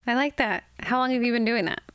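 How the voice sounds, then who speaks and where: A woman's voice: a little high, quick pace, fairly bright tone, clear, resonant tone, dry recording. Encouraging woman podcast host, dry sound. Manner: friendly, encouraging interviewer. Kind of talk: interview hosting.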